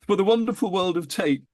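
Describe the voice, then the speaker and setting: A man's voice: sits high, normal speed. A man talking enthusiastically and telling anecdotes as an interview guest. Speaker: interview guest, audiophile recording producer. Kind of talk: animated anecdotal interview talk.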